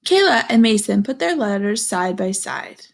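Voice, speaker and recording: A woman's voice: mid-range voice, sing-song, normal speed, vocal fry. A woman reading a storybook aloud to young children, expressively, with asides to her listeners. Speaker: storybook reader.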